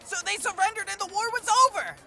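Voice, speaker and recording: A woman voicing an excitable, touchy young cartoon animal in an exclamatory, pouty way. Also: extremely high pitch, fast talker, creaky, heavily acted. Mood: excited. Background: music.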